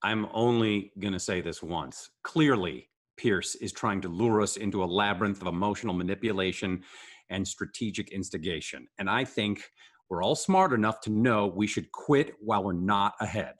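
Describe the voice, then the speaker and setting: A man's voice: on the low side, moderate pace, close to an everyday voice. An actor playing a sardonic, self-assured male ringleader who speechifies in a scripted sitcom table read. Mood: cynical, persuasive.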